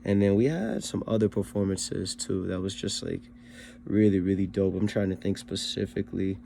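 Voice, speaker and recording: Laid-back slangy young man, low gravelly voice. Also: fairly even intonation, normal speed, warm tone, a little hiss or hum. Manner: laid-back, slangy, many fillers like "you know what I mean". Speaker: rapper learning to sing, vlog host. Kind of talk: casual vlog recap.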